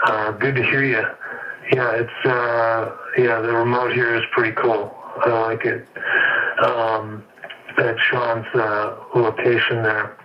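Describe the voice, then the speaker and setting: A man chats casually over amateur radio in a muffled, distant transmission. His delivery is laid-back and halting, rambling along in a flat, even tone. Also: mid-range voice. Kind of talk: casual ham radio chat. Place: indoors at his shop, over remote radio link.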